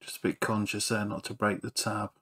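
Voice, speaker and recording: A man's voice: on the low side, completely flat delivery, a little fast, a bit bright, slightly rough. A man narrating a hands-on repair walkthrough in an understated, matter-of-fact way. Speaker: repair channel presenter.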